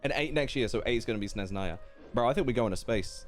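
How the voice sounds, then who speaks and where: A man live-streams a game in a casual, chatty style. His voice sits at a medium pitch and he rambles playfully as he reacts. Faint game music plays behind him. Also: fast.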